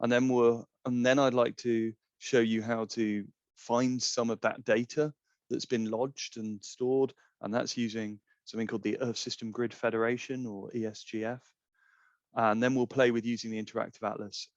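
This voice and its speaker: A man's voice: mid-range voice, little pitch movement, moderate pace, no echo. A middle-aged man presenting an informal webinar in a conversational, explanatory way over a video call. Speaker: climate scientist presenting a webinar. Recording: dull, lo-fi webinar audio.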